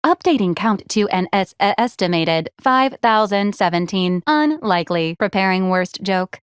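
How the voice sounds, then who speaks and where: A woman playing a video game support robot, formal and literal, speaking in measured, politely officious protocol announcements. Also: medium pitch, clear, resonant tone, dry recording, studio quality, close to an everyday voice. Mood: deadpan. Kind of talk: video game character voice lines.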